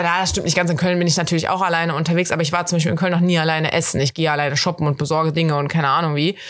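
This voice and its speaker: A young woman from Germany, hosting a podcast, telling a story in casual, chatty fashion and acting out the quoted bits of dialogue. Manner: chatty and animated, voices quoted dialogue with big pitch jumps. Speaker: podcast host.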